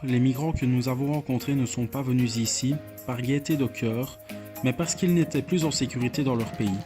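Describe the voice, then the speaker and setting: A young adult man reading scripted narration as a student narrator. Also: mid-range voice. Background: music.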